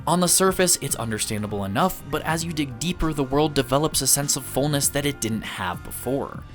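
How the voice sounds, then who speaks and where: Male voice, animated intonation, mid pitch, video essay narrator, review delivery, music underneath. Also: brisk. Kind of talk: scripted review narration with occasional casual asides.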